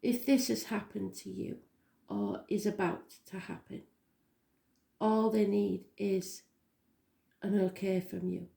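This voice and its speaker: A woman with a slightly croaky voice giving a daily tarot reading, talking softly and slowly with many pauses. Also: mid-range voice, fairly even intonation, reverberant. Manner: slow, soft and unhurried, with pauses and fillers. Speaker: tarot reader.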